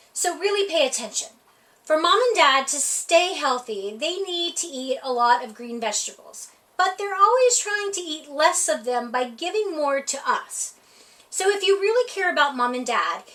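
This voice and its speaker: A woman with a high voice reads a children's picture book aloud at storytime, her pitch swinging widely as she plays up the lines. The delivery is playful and expressive, and she sounds set back from the microphone. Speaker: library storytime reader. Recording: voice heard from a distance off the mic.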